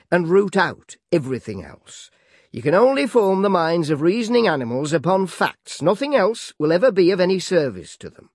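A man voicing a rigid, domineering middle-aged Victorian schoolmaster who speaks slowly and emphatically, read for an audiobook. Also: sits high, darkish tone, no room sound, clean studio sound, voice pushed up. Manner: slow, emphatic, dictatorial. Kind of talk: audiobook character reading.

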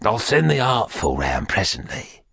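A man's voice: on the low side, big pitch swings, moderate pace, creaky, fairly bright tone, breathy edge, no room sound, studio quality, full character voice. A man voicing a wily old gang boss in an audiobook, submissive, ingratiating and pleading. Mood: protesting. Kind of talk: audiobook character acting.

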